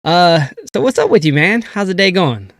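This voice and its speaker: A man with a fairly high voice hosts a casual crypto livestream, talking with loud hype and easy banter. He is still a little congested after being sick. His voice sounds dry and close on a desk mic. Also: moderate pace, vocal fry, no room sound. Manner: hype, energetic greetings. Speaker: crypto show co-host.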